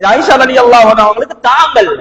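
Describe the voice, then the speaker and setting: High-pitched Sri Lankan Tamil male preacher, echoing hall. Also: lively intonation, fast, a bit bright, lo-fi sound. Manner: emphatic, dramatic, with rhetorical questions and repeated phrases. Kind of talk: sermon with storytelling. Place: large mosque hall. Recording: reverberant hall.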